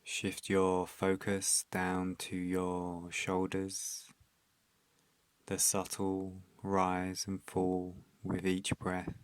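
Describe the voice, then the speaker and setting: Male meditation guide: calm and soothing, extremely monotone delivery, very dry close recording. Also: sits low, clear, resonant tone, no room sound. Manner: calm, soothing, instructional, with long pauses.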